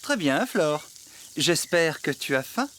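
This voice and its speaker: Polite male cartoon farm character, hungry, lively intonation, a bit sheepish. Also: low voice, takes it slowly, slight background noise, exaggerated voice. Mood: satisfied. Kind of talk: children's cartoon dubbing.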